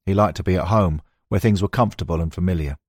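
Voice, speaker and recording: A man's voice: deep voice, quick pace, no room sound, studio quality. A man reading scripted narration as an audiobook narrator, moving between prose and quoted recollections.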